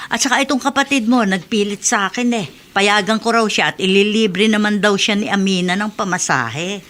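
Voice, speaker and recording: A woman's voice: mid-range voice, normal speed, a bit bright, natural voice. An actress playing a worried middle-aged mother in a radio drama.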